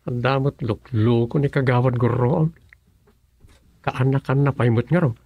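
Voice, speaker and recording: A man's voice: medium pitch, normal speed, gravelly, no echo, narrow, tinny audio. An actor with an Ilocano accent playing a grumbling, sleepy village councilman in a radio comedy-drama. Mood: matter-of-fact.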